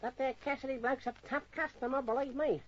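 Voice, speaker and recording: An actor playing a cowardly, chatty small-time Australian sidekick in an old radio crime drama, with rough working-class speech and stammering excuses. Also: male voice, extremely high pitch, steady tone, a little fast. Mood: uneasy. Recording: muffled old radio recording.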